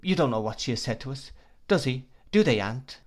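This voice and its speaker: A man's voice: medium pitch, animated, brisk, natural voice. A man reading aloud, voicing a tearful, remorseful young woman who sobs and pleads. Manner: sobbing, pleading.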